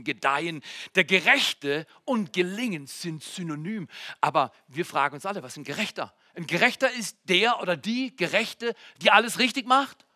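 Male pastor in his 40s, high, very bright voice, dry sound, swings between loud exclamations and near-whispers. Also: dry recording. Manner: addresses the congregation directly with jokes and questions. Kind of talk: sermon. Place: church.

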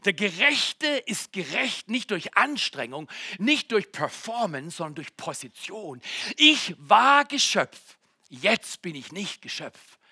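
Male pastor in his 40s, high, very bright voice, dry sound, swings between loud exclamations and near-whispers. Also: big pitch swings, slow, breathy edge, dry recording. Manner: addresses the congregation directly with jokes and questions. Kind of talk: sermon. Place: church.

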